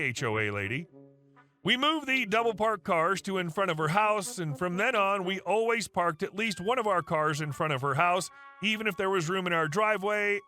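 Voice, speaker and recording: Male story narrator, high bright voice, wry and animated, faint music under. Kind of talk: scripted narration of online stories.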